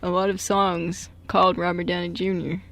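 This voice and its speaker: 수줍게 수다 떠는 젊은 미국인 여성. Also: female voice, fairly low pitch, flat delivery, normal speed, vocal fry, fairly bright tone, no echo. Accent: American. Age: young adult. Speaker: college radio show co-host. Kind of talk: casual, informal radio chat.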